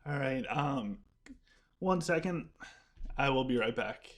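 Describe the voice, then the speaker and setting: A man's voice: medium pitch, lively intonation, slow. A man commentating his game live and answering viewer questions, chatty and rambling, with his voice starting to go. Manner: chatty, rambling, frequent restarts while playing. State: losing his voice. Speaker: gaming live streamer. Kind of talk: casual live-stream commentary.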